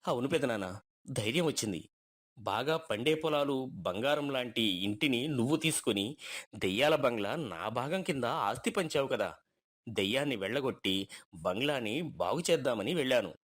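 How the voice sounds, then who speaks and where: A man's voice: medium pitch, expressive, moderate pace, a bit bright. A man voicing an assertive village husband with a blunt, lecturing manner in a moral story. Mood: firm.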